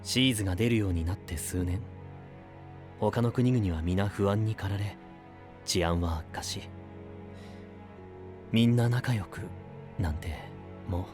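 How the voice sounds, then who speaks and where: A man playing a calm, gently serious young knight in an anime-style voice drama, measured and sincere. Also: on the low side, over music, lightly acted. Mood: grave.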